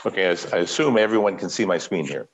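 An older man giving a keynote lecture from a home office over a headset, speaking in a measured way with pauses and frequent throat clearing. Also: sits low, animated, noisy background. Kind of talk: academic lecture. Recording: dull lo-fi video call audio.

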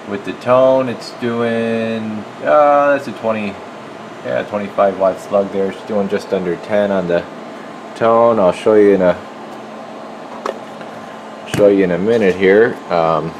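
Low-pitched man casually demonstrating in noisy workshop. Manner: informal, folksy, talking while working. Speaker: radio repair technician presenting his work. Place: indoor electronics workshop. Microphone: handheld camera microphone. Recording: steady loud equipment hum and noise.

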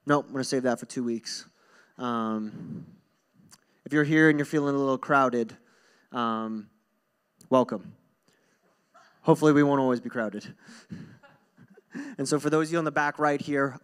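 A man's voice: a little high, clean tone. A young man preaching as a pastor in an informal, earnest, conversational way, repeating phrases for emphasis, speaking into a handheld microphone on stage. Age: young adult. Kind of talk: conversational sermon to a congregation. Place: stage in a church hall.

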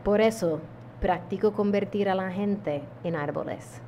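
Soft-voiced woman teaching mindfulness. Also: medium pitch, a little hiss or hum. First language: English. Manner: calm, gentle teaching with deliberate pauses. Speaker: yoga teacher. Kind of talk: mindfulness lesson to camera. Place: indoor room at home. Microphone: small handheld lavalier mic held close.

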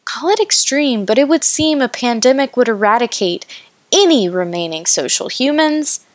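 A woman poet reciting her own work deliberately, pausing between short phrases. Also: on the high side, expressive, no room sound. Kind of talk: poetry recitation.

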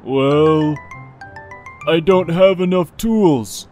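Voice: mid-range voice, very slow, darkish tone, soft background music. An actor voicing a helpful, self-assured young boy in a children's cartoon, with a cocky, easygoing manner.

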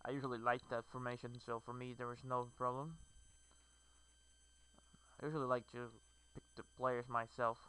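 Clear, bright-voiced Brazilian man. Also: mid-range voice, little pitch movement, normal speed, pauses often, rough recording. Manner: hesitant, fillers, non-native phrasing. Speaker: gamer. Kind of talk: game commentary.

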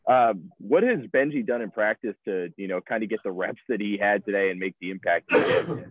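Young male reporter, low voice, rapid questions, tinny video-call audio. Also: heavy background noise. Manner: rapid, loose questions with many fillers. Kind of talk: press conference questions. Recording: tinny phone-like line.

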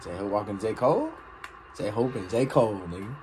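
A young man hosting a music reaction, hyped and slangy, swinging from loud exclamations to mumbled asides in a small bedroom. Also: fairly low pitch, expressive, moderate pace, noisy background. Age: young adult. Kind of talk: excited reaction commentary.

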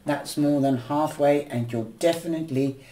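A woman's voice: low voice, moderate pace, noisy background. A stuffy-nosed woman reading tarot. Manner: gentle, chatty, rambling. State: nasal from a cold. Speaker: tarot reader. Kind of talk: weekly horoscope tarot reading. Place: indoors at a table, camera over the cards.

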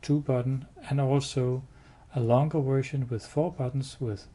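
A man giving a hands-on product demo indoors, explaining step by step in a halting, matter-of-fact way. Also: mid-range voice, fairly even intonation, dark tone. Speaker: product demonstrator. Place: indoors, showroom with wall-mounted devices. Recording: clear background noise.

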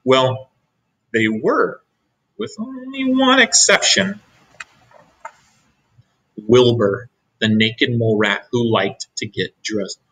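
A middle-aged man giving an expressive read-aloud of a children's storybook. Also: medium pitch, slow, pauses often, husky, rough recording. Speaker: storybook reader.

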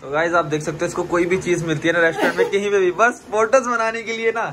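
A man's voice: sits high, fairly even intonation, fast, over a noisy crowd. A man, vlogging casually to his phone in a playful, teasing way. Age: 20s. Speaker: vlog host. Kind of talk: casual vlog chat to camera. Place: restaurant room and outside it by a road. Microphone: phone at arm's length. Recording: noisy phone audio with background ambience.

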